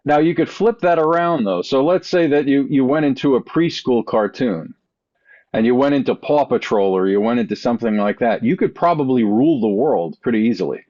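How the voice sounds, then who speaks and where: A man's voice: mid-range voice, animated, a little fast, a little mellow, no room sound. A man chatting casually as a podcast panelist, rambling along in a wry way. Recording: dull, dry podcast audio.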